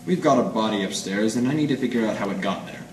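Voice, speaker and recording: Male actor as cynical small-time gumshoe, 1940s radio noir, wry and clipped, low voice, distant noisy pickup. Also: lively intonation, quick pace, close to an everyday voice. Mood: businesslike. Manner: wry, clipped hard-boiled slang. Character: cynical, world-weary small-time noir detective man. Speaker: amateur stage radio-drama actor. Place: live performance in an art gallery. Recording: distant mic in echoey noisy room.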